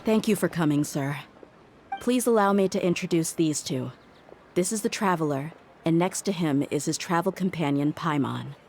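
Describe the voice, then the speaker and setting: Low-voiced, resolute young woman fighter. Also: normal speed, darkish tone, a little hiss or hum. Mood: respectful. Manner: earnest, direct. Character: proud, principled young woman mercenary, forthright and respectful. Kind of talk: video game story voice acting.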